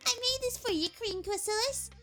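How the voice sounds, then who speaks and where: A woman's voice: very high-pitched, normal speed, music in the background. A woman voicing a tiny, sweet, innocent little girl pony in a cartoon-style audio drama. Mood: sweet, grateful. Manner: sweet, childlike.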